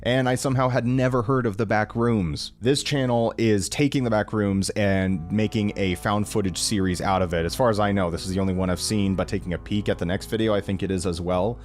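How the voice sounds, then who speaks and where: Low-voiced young man, casual video creator. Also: steady tone, fairly quick, hardly any pauses. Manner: informal, enthusiastic, self-deprecating, mild swearing. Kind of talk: casual rambling review to camera.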